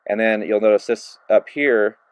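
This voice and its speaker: A man giving a relaxed, casual hands-on review of a handheld radio, narrating each step with plenty of fillers as he works the controls. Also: fairly low pitch, normal speed, smooth, no echo. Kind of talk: casual hands-on product demo.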